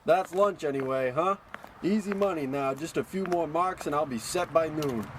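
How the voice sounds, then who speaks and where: A sly small-time crook in a comic radio play, played by a man. His voice is fairly high, wheedling and full of wise-guy slang, with faint street noise behind it. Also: normal speed, natural voice. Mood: smug.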